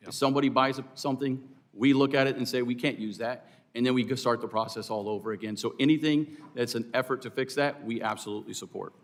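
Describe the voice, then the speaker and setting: A man with a medium-pitched voice gives formal testimony as an expert witness. His delivery is earnest and emphatic, addressed to officials in a hearing. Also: steady tone, quick pace, few pauses. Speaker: air traffic controllers' representative, hearing witness. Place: hearing room.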